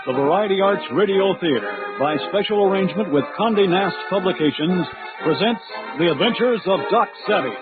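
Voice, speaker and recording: A man's voice: sits high, animated, normal speed. A man narrating a scripted adventure serial as a dramatic old-time radio announcer. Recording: tinny radio sound over music.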